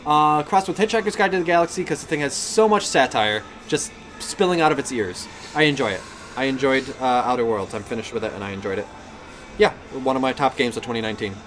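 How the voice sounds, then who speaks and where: A man's voice: medium pitch, quick pace, a bit bright, a little hiss or hum. A man co-hosting a gaming podcast in a casual, conversational style. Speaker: podcast co-host.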